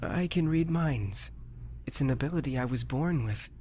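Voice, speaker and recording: Woman acting as a young male demon, very deep for a woman, quiet, gentle, calm, game character, faint background noise. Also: moderate pace. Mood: calm, reassuring.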